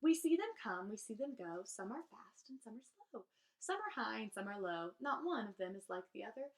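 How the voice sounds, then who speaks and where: A woman's voice: medium pitch, sing-song, a little fast. A woman reading rhyming children's verse aloud in a theatrical, emphatic teacher's manner, drawing out key phrases.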